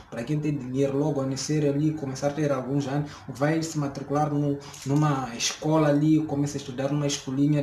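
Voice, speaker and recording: A man's voice: mid-range voice, darkish tone, a little hiss or hum. Hesitant Mozambican man in an echoey room. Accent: Mozambican Portuguese. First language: a Mozambican local language. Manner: hesitant, many fillers and restarts, explaining. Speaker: vlogger. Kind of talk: casual talk to camera.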